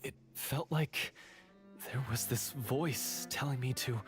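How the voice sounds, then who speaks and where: A man voicing a troubled, bitter male treasure hunter in a video game, speaking in a dramatic, halting way. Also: medium pitch, over music, natural voice. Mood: shaken.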